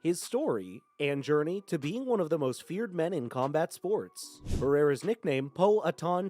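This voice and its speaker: A man narrating a scripted video in an even, read-aloud delivery. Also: on the high side. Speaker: channel narrator.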